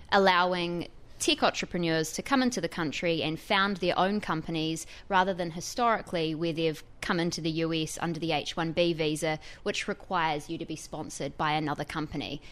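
A woman's voice: sits low, a little fast, fairly bright tone. A woman with a New Zealand accent, a technology reporter explaining a story in a radio interview.

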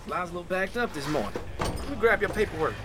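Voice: medium pitch, lively intonation, fast, background noise, close to an everyday voice. An actor playing a friendly, easygoing gate worker at a distribution center, casual and chatty in an audio drama. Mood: relaxed, puzzled. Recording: clear outdoor vehicle ambience.

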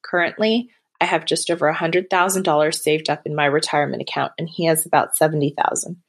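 Woman in her 30s, caller, low voice, matter-of-fact, reading a prepared question. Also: fairly even intonation, fast talker, slightly rough.

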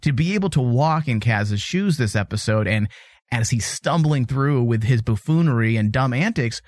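A man's voice: medium pitch, animated, moderate pace, darkish tone, no echo, studio quality. A man co-hosting a casual podcast, chatting animatedly and teasing his co-host, laughing easily.

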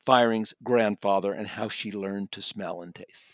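A man's voice: fairly low pitch, a little slow, dry recording, clean studio sound. A man chatting as a guest reviewer about books in a conversational way. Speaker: book reviewer and contributor. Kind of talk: conversational book review discussion.

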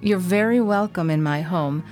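An actress playing a strange, quiet housewife in a radio drama, speaking softly and detached. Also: female voice, fairly low pitch, moderate pace, faint music behind, natural voice. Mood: eerily calm. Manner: soft, eerie and detached.

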